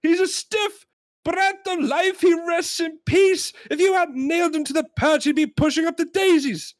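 A woman's voice: on the low side, sing-song, normal speed, dry recording, studio quality, pitched above the performer's own voice. A woman playing an indignant male customer who complains in emphatic, exasperated rants in a comedy sketch. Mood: exasperated ranting. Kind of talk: British sketch comedy reenactment.

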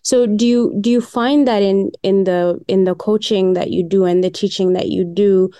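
A woman's voice: medium pitch, fairly even intonation, normal speed, dark tone, clean tone, no echo. A woman interviewer asking long, hesitant questions with frequent restarts. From grew up under a British system before Canada. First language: English. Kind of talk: conversational interview.

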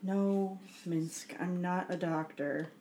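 A woman's voice: lowish voice, fairly even intonation, slow, warm tone, reverberant. A woman playing a tabletop roleplaying game, speaking firmly and insistently, partly in character as her bard. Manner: firm, insistent. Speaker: player.

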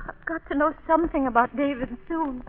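A woman's voice: sits high, a little fast, close to an everyday voice. An actress playing an anxious young woman who fears for her fiancé, speaking in a worried, stammering way in a 1940s radio drama. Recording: narrow old radio broadcast with a crowd.

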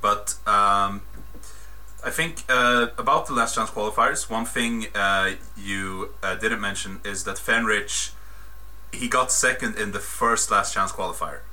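Man from Sweden, bright, forceful voice. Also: fairly low pitch, a little slow. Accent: mixed English and Swedish. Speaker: podcast guest, tournament reporter. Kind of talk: casual podcast chat.

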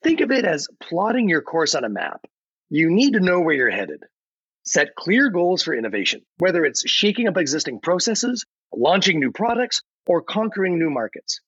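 A man's voice: high voice, very animated, moderate pace, fairly bright tone, breathy edge, no room sound, heavily acted. A man voicing an upbeat, folksy AI chatbot advisor in scripted explanatory narration, theatrical and emphatic, on a podcast. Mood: upbeat, instructive. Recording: dull, lo-fi but dry.

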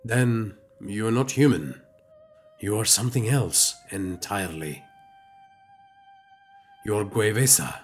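A man playing a calm, wise alien elder in a science fiction audio drama, speaking in a measured, formal, philosophical way. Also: lowish voice, husky, faint music behind. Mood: earnest.

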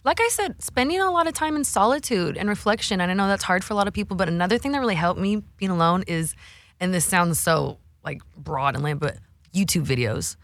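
A woman's voice: mid-range voice, expressive, a little fast, no room sound. A woman guest, a music producer and songwriter, talking in an upbeat, chatty and slangy way. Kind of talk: casual chat.

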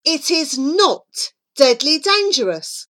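A woman's voice: high voice, very animated, fairly bright tone, voice pushed up, heavily acted. A woman voicing a bossy, confident young girl in a children's story, emphatic and persuasive. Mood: dismissive. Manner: emphatic, coaxing, brisk.

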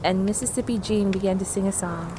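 An actress playing a lyrical reader of a wandering road tale, reciting in a literary style. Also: female voice, medium pitch, fairly even intonation, fairly quick, natural voice. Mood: rapt. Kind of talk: literary recitation in an audio drama. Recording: faint background noise, vehicle ambience.

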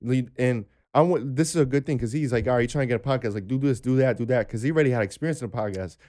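A man's voice: medium pitch, racing, a little mellow. A man in his twenties, a podcast guest, speaking earnestly and restarting his phrases as he thinks. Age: 20s. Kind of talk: casual podcast chat.

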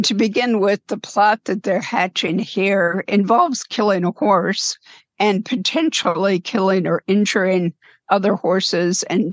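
A slightly hoarse woman talking thoughtfully as a panelist in a casual film discussion. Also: mid-range voice, no room sound. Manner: thoughtful, musing, with small laughs. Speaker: podcast panelist.

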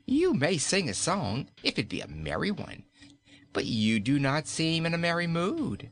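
A man's voice: a little high, wildly swooping pitch, unhurried, fairly bright tone, voice pushed up, heavily acted. A man reading a storybook aloud, voicing a cruel queen who teases and gives orders in an imperious way. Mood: haughty. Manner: teasing, imperious. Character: cruel, mocking queen, a woman. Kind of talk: audiobook character voice.

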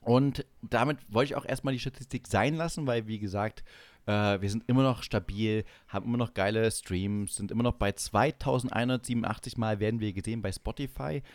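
Male podcast co-host, mid-pitched and playfully teasing, recorded close and dry, casual banter. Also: no room sound.

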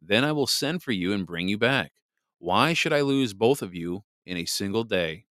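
A man's voice: fairly low pitch, expressive, moderate pace, fairly bright tone, no room sound, clean studio sound. A man reading as an anxious scheming mother. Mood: urgent. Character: worried mother urging her son to flee.

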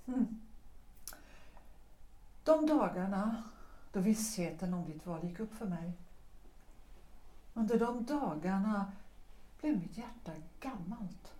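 A woman's voice: medium pitch, pauses often, mellow, natural voice. A woman playing a disillusioned wife in an audio drama, speaking earnestly and often dropping to a whisper. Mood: bitter. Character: disillusioned wife who rejects an old lover. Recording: roomy echo.

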